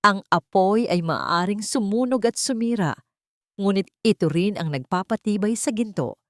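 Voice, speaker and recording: A woman with a Filipino accent reads a scripted dramatic story as narrator, in a measured, solemn and sentimental delivery. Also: mid-range voice, dry recording, clean studio sound.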